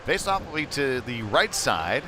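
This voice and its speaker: An American man giving casual, conversational live hockey play-by-play as a commentator, getting excitable when play heats up. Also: medium pitch, a little slow. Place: indoor ice rink. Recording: noisy indoor ice rink.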